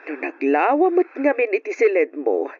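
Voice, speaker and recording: A woman's voice: mid-range voice, huge pitch swings, moderate pace, slightly rough, no room sound. A woman acting a caring, motherly older aunt in an Ilocano radio drama, with an Ilocano accent and solicitous delivery. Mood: concerned. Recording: dull lo-fi radio drama recording.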